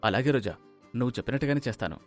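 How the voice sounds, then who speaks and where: A reassuring doctor, put on by a male storyteller speaks calmly in a medium-pitched voice. It is dialogue from a moral tale with faint music behind. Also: very fast, close to an everyday voice. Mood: obedient. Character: calm, reassuring male agent posing as doctor.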